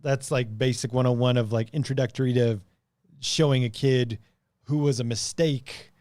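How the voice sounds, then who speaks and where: Male co-host, mid-pitched, blunt and informal, swears casually, very dry recording. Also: fairly even intonation, moderate pace, darkish tone, dry recording. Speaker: show co-host. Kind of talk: casual panel chat with friends.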